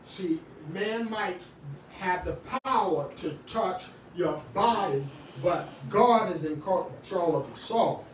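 A middle-aged man preaching a sermon in a small church, swinging from shouts to quiet talk with many restarts. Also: high-pitched, slow pace, a little hiss or hum. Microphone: far camera. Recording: echoey room, far from the camera.

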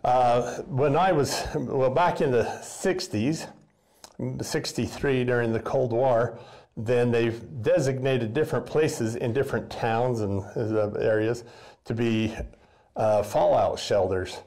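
A man's voice: mid-range voice, slow pace, darkish tone. Folksy older man giving a basement tour. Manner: rambling, folksy, lots of fillers and restarts. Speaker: tour guide, former custodian. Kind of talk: casual guided tour. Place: basement boiler room of an old school building.

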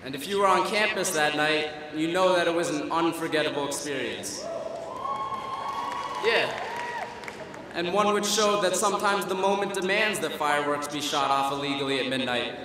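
A young man giving a humorous student commencement speech at a podium, wry and self-deprecating, with pauses for laughs. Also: a little high, crowd noise, noisy background. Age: young adult. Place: large auditorium stage at a podium. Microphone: podium microphone. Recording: echoey hall through a PA system. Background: audience laughter and hall ambience.

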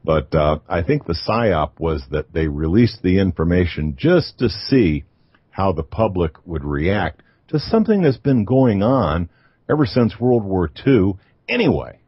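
A man from the United States, a guest on an online radio roundtable, explaining in a measured way with many fillers. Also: low voice, very animated, breathy edge, no room sound. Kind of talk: casual roundtable chat. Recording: dull online call audio.

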